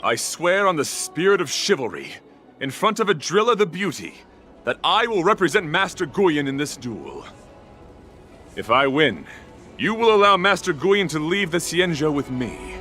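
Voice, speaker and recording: A man's voice: on the high side, very animated, moderate pace, bright, forceful tone, soft background music. A man playing a chivalrous young knight in a video game, speaking in courtly, flowery, formal phrases with earnest devotion. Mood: solemn, formal. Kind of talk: theatrical video game voice acting.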